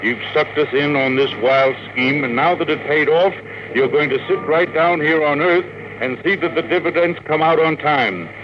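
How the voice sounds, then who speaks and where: A man's voice: a little high, normal speed, natural voice. An actor playing a blunt, hard-headed businessman in a classic radio drama. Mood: firm, exasperated. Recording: noisy old radio broadcast.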